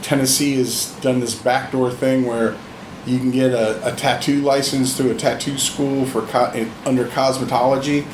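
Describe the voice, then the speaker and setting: A man in his fifties talks shop in an easy, informal, explanatory way, with a mid-pitched voice. The room rings noticeably around him and there is clear background noise. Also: level tone, moderate pace. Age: 50s. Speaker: veteran tattoo artist, podcast guest. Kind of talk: casual shop-talk conversation. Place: indoors in a tattoo shop. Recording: roomy, noisy room with hum.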